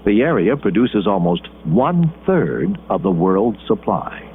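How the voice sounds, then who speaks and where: A man with an American accent narrating an educational documentary in measured, deliberate phrases with dramatic pauses. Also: fairly low pitch, very animated, slight background noise. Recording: muffled band-limited 1980s film soundtrack. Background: background music.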